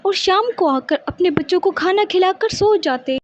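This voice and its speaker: A woman's voice: very high voice, animated, moderate pace, noisy background. A woman narrating a scripted children's story as its storyteller.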